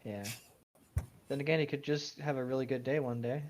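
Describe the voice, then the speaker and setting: A man's voice: medium pitch, steady tone, a little fast, a little mellow. A man chatting casually as a co-host, teasing in an offhand way with dry humour. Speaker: co-host in a gaming chat. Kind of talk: casual chat.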